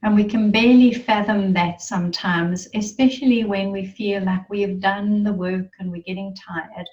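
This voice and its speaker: A woman leading a guided meditation in a soothing, reverent manner, leaving long pauses between phrases. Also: mid-range voice, steady tone, darkish tone. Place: online group gathering. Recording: muffled, roomy, distant online-call sound.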